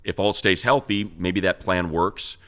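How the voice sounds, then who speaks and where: Deep-voiced American man talking sports. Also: a little slow, bright, forceful tone. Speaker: sports show co-host and radio play-by-play announcer. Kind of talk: casual sports talk and game analysis.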